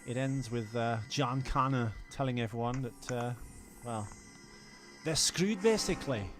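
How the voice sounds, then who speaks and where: A man's voice: mid-range voice, measured pace, faint music behind. A man co-hosting a podcast with casual, rambling film-review banter and a wry manner. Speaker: podcast co-host.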